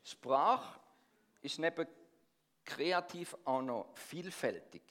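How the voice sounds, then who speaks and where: A middle-aged man with a Swabian accent softened by Swiss dialect preaches a sermon. His voice sits a little higher than most men's. He keeps turning to the congregation with questions and draws answers out of them. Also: lively intonation, slow. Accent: Swabian-tinged Swiss German. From Swabia, near Stuttgart. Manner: engaging, asks the congregation questions.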